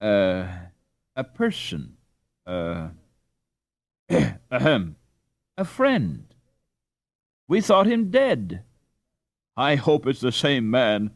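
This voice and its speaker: A man voicing a humble, earnest Dutch peasant father in a storybook, halting and deferential. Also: medium pitch, huge pitch swings, creaky, no echo, heavily acted.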